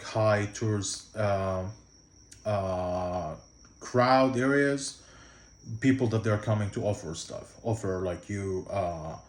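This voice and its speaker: A man's voice: lowish voice, a little mellow, reverberant. Egyptian-accented man giving loose travel tips. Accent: Egyptian Arabic. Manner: loose, rambling, with many fillers and restarts. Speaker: travel vlogger. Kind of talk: casual travel tips to camera.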